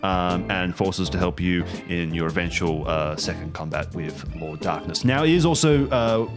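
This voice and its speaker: A man playing a harried, officious agent of a goddess company in a tabletop game, explaining things haltingly. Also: sits low, music in the background, pitched below the performer's own voice. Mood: briefing.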